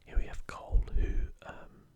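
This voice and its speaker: An ASMR host whispering softly in a breathy, unvoiced voice, giving unhurried, rambling commentary. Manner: hushed, unhurried, rambling asides.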